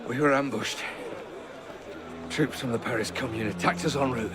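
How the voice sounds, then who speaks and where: Casting: male actor as injured soldier, game cutscene, mid-pitched, strained and shaken, heavy background noise. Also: fairly even intonation, moderate pace, natural voice. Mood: shaken, pained. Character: wounded, shaken male soldier reporting an ambush. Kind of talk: video game cutscene acting. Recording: loud outdoor noise behind dialogue.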